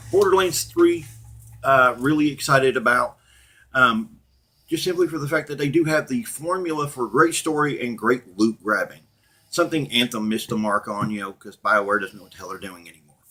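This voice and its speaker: A middle-aged man with a husky voice, talking casually and off the cuff as a blunt, profane, opinionated gaming channel host. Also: medium pitch, pushed and bright. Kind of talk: casual unscripted rant and commentary to viewers.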